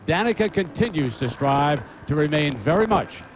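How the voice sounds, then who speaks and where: A man narrating a pre-race broadcast feature in a measured way. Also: on the high side, lively intonation, noisy background. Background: music.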